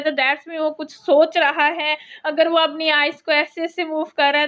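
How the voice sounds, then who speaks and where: Woman teacher giving a recorded lecture, high bright voice, kinda dull lo-fi sound. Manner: explanatory, repeats points, mixes English terms.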